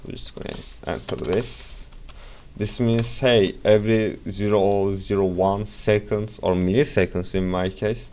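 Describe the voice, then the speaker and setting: A young man with a Turkish accent, casually walking through a software tutorial with rambling asides and self-corrections. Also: on the low side, little pitch movement, measured pace. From Turkey.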